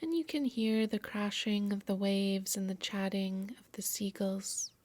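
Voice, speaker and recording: Slow, soft-spoken woman guiding meditation. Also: mid-range voice, steady tone, dry recording. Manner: soft, soothing, measured delivery. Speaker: yoga nidra instructor. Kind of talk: guided meditation narration.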